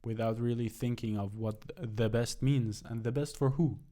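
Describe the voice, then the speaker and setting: A man's voice: medium pitch, steady tone, moderate pace, dark tone. A man from Iran in casual podcast conversation, speaking earnestly and reflectively. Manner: reflective, earnest, quotes his mother.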